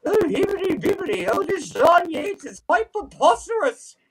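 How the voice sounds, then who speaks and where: A pompous, plummy English gentleman, as a man puts him on in a fake English accent, sounding mock-outraged. The voice sits well above the man's own and climbs and dips grandly. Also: extremely high pitch, very animated, normal speed, voice pushed up, heavily acted, rough recording. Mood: mock outrage. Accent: put-on English. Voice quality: plummy, theatrical.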